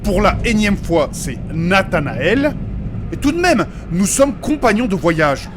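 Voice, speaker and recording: Casting: earnest, formal gentleman mage played by an actor, courteous and faintly pompous, fantasy audio comedy, clear train noise behind. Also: medium pitch, big pitch swings, a little slow. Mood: solemn, reassuring. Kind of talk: comic fantasy audio drama acting.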